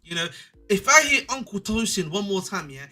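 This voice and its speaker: Animated young man with a bright, husky voice. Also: high voice, moderate pace. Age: 20s. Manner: rambling, animated, slangy, repeats himself. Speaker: podcast co-host. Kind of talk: casual football chat.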